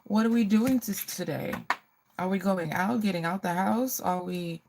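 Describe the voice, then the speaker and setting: A woman's voice: mid-range voice, moderate pace, gravelly, background noise. A middle-aged woman hosting a casual get-ready livestream, chatting to viewers and often repeating her phrases.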